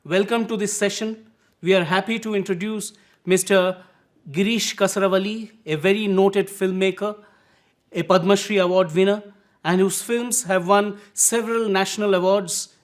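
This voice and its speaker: A man with an Indian English accent, hosting formally and asking measured questions about a film. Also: high-pitched. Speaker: interviewer, host. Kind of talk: formal introduction and interview questions.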